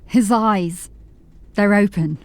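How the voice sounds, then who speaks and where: A woman's voice: mid-range voice, slow pace. An actress playing a dutiful young female constable in an audio drama, speaking in an urgent, clipped way. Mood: alarmed.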